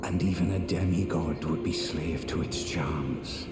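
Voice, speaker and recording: Condescending sorcerer, fantasy game villain, drawn-out pompous delivery, very slow, reverberant hall, loud music behind. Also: male voice, low voice. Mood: scheming, sly. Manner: pompous, sneering, drawn-out theatrical phrasing. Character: haughty, condescending, scheming male sorcerer and puppeteer. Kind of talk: fantasy video game character acting.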